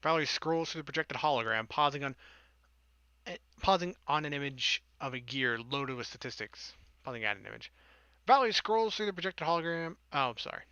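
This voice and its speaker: Bright-toned male let's player, sarcastic. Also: a little high, expressive, fairly quick, clean tone, no room sound. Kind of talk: reading a visual novel aloud with casual commentary.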